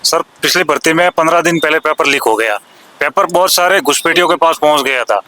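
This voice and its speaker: A man's voice: on the high side, fairly quick, bright, forceful tone, rough recording. A young man in his twenties, an exam aspirant at a protest, speaking politely and deferentially. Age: 20s.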